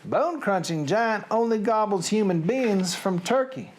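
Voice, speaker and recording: Booming, boastful male giant for a storybook, Southern US drawl, broken grammar, swooping pitch performed by a male reader. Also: expressive, unhurried, slight background noise. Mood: boisterous, boastful. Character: big friendly giant, male, booming, boisterous and ungrammatical. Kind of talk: storybook character reading.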